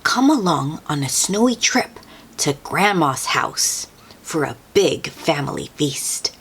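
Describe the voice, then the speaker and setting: A woman reading a picture book aloud to young children as host, in an expressive, sing-song way with questions and soft exclamations for the listener. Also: low-pitched, takes it slowly, a little hiss or hum.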